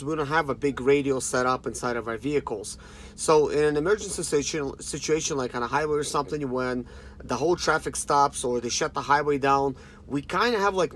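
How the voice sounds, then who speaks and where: Casual man in his thirties, unboxing chat. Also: medium pitch. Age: 30s. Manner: informal, conversational, addresses viewers as guys. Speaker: product reviewer and vlogger.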